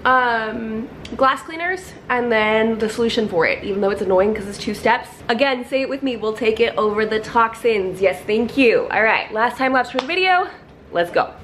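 A woman's voice: a little high, expressive, normal speed, fairly bright tone. Woman in her 30s, home vlogger, casual clean-along chatter, slight room hum. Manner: chatty, self-deprecating, laughs often. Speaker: lifestyle vlogger. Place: kitchen and entryway of a house, indoors.

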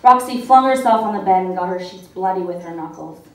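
A woman's voice: on the low side, brisk. A young woman giving a theatrical, comedic live reading of a short story, acting out the dialogue. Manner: theatrical, expressive delivery with acted dialogue. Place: stage in a small event room with audience. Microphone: mic on stand, picked up by distant camera. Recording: roomy, distant, noisy live-event recording.

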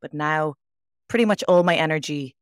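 A woman's voice: sits low, moderate pace, clear, resonant tone, no echo, clean studio sound. A woman in her thirties from Dublin with a Dublin accent, an online Irish teacher talking as a guest over a video call. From Dublin, Ireland. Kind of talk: casual interview.

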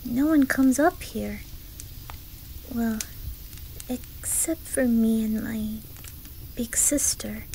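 A woman voicing a shy, curious nine-year-old boy in an ASMR roleplay, with an attempted Swedish accent and a soft, often whispered, hesitant delivery. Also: fairly high pitch, vocal fry, a little hiss or hum, low-quality recording. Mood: shy, gentle. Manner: hesitant, stammering, slow.

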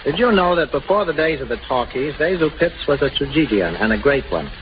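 A man's voice: fairly high pitch, big pitch swings, a little fast. A man working as an interviewer, delivering scripted banter on a 1930s radio show. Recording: noisy, muffled 1930s radio recording.

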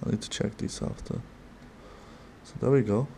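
A man with a non-native accent opening trading cards at a desk, talking casually and haltingly with small laughs. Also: on the low side, wildly swooping pitch, gravelly, mellow, slight background noise. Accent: non-native English. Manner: slow, halting, chatty with little laughs. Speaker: card-opening channel host. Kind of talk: casual card-opening commentary. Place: desk in a dim room.

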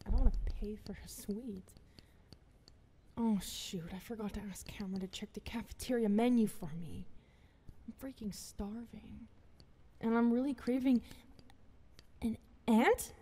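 A woman voicing a gentle, awkward young anteater girl with a halting way of talking in an ASMR roleplay. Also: a little high, slightly rough. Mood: startled, apologetic. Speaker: roleplay voice actress.

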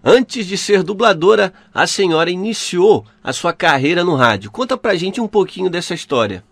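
Lively, slightly husky Brazilian man hosting. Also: sits high, moderate pace, dry recording. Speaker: interview host.

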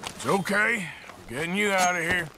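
An actor playing a gruff, weary middle-aged outlaw cowboy in a video game, with a Southern American drawl. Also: male voice, sits high, moderate pace, background noise, close to an everyday voice. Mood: weary, reassuring. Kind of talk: video game character acting.

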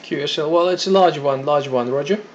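A man's voice: fairly high pitch, brisk. A man working as an amateur radio operator, exchanging call signs and signal details in a procedural, slightly hesitant way. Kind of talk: ham radio contact exchange. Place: indoors beside a radio set. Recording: dull lo-fi audio with faint noise.

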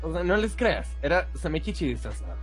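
A woman's voice: low voice, very animated, a little fast. A woman giving joking let's play commentary and acting out game dialogue in a playful, mocking, crude way. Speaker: gameplay commentator reading out dialogue. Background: game music.

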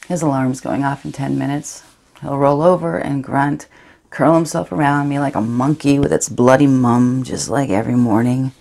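A woman's voice: very deep voice, creaky, mellow, breathy edge, exaggerated voice. An actress with a cold performing a monologue as a defiant young woman, speaking intimately and slipping into whispers. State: has a cold. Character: defiant young woman, unrepentant after a secret night. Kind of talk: audition monologue.

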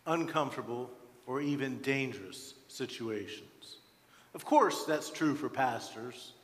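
A man in his sixties preaching a sermon as a pastor, with deliberate, emphatic phrasing and frequent pauses, in a church. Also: mid-range voice, fairly bright tone. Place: large church sanctuary, at the pulpit. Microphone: headset microphone. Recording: reverberant church hall.

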